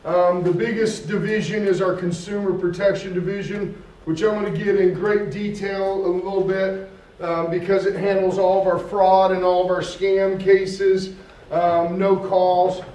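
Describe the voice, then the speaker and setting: A man's voice: high voice, little pitch movement, measured pace, mellow. Folksy man in an echoey hall. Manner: folksy, jokey, self-deprecating. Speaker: guest speaker, public outreach coordinator. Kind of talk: public talk to a community group. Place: community meeting room. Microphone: speaks without a microphone. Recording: echoey room, far from the mic.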